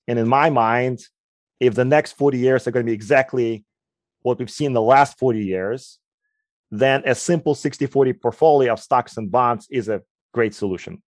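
A man in his forties from Ukraine, with a Ukrainian accent, answering interview questions in a measured, explanatory way. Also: medium pitch, no echo. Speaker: guest, quantitative researcher.

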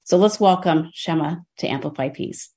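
A woman's voice: low-pitched, moderate pace, a little mellow. A woman hosting a podcast, introducing her guest in an earnest way with some fillers. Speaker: podcast host.

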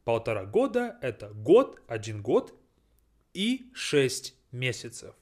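Slow, lively man teaching. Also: mid-range voice. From Russia. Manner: deliberate, repeats and translates phrases. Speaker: language teacher. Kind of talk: slow teaching monologue mixing Russian and English.

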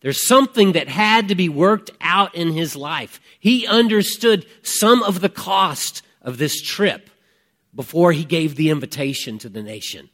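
A man in his fifties preaching a conversational sermon, reflective and emphatic, with deliberate pauses and repeated phrases. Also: a little high, lively intonation, a bit bright. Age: 50s. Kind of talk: conversational sermon with personal testimony.

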